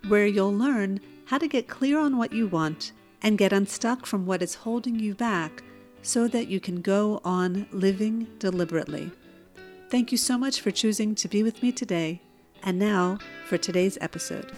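A woman hosting her own podcast, speaking in a gentle, earnest way and telling personal stories. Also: mid-range voice. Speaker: podcast host. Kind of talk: solo podcast talk.

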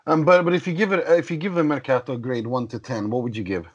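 A man's voice: mid-range voice, fairly even intonation, fast, husky, dull audio. A man hosting a casual podcast, friendly and laughing often. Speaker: podcast host.

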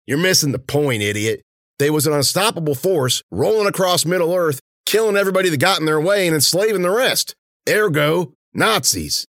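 A man voicing a crude, impatient henchman who blusters and bullies in rough grammar in an audiobook story. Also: on the high side, lively intonation, moderate pace, fairly bright tone, dry recording, clean studio sound, pitched above the performer's own voice. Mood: pompous. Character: crude, impatient henchman, bullying his partner.

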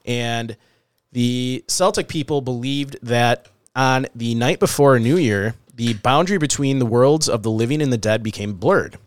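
A man's voice: medium pitch, unhurried, smooth, no echo, clean studio sound. A man co-hosting a podcast in casual chat, sounding slightly nasal from allergies. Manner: relaxed, chatty, frequent "uh" and "um". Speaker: podcast co-host.

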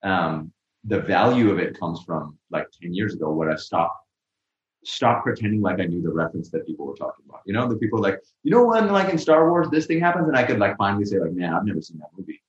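A young man with a low voice talks in a loose, rambling way, restarting sentences and leaning on "like." His voice sits in an echoey room, and the recording sounds a bit muffled. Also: very animated, fast. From Pakistan. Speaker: guest. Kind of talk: casual interview conversation.

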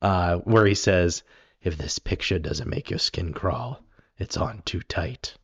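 A man co-hosting a casual film talk, his voice raspy from being sick, rambling with many ums and restarts. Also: deep voice, animated, slow pace, no echo. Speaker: podcast co-host.

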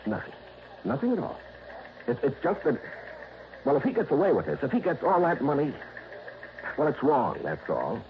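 A man's voice: fairly high pitch, huge pitch swings, normal speed, pauses often. An actor playing an eager, talkative small-town radio newsman in an old radio drama. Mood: evasive. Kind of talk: 1960s radio drama acting. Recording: dull old radio recording with hiss.

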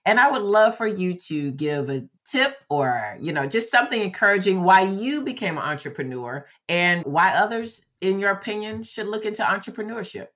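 A woman's voice: mid-range voice, expressive, moderate pace, hardly any pauses, a bit bright. A woman hosting a casual live podcast, encouraging and full of thanks to her guest. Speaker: podcast host.

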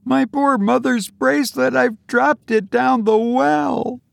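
A man's voice: sits high, animated, unhurried, darkish tone, no echo, voice pushed up. A man voicing a young woman in an audiobook, girlish and emotional, tender and easily startled. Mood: distressed. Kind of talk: audiobook character voice.

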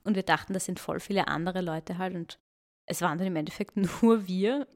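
A woman's voice: fairly low pitch, fairly quick. A woman in her thirties, a mother co-hosting a casual podcast, chatting and teasing dryly. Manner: dry teasing quips. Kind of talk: casual chat.

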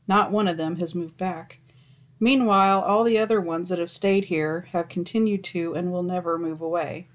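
A woman reading stories aloud in a casual chat, with wry deadpan asides and some swearing. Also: fairly low pitch.

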